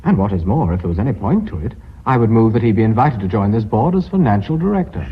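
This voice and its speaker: An actor playing an earnest British company director in a radio drama, formal and emphatic. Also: male voice, on the low side, sing-song, quick pace, slight background noise. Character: earnest, brisk company director defending his secretary. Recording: muffled old radio recording.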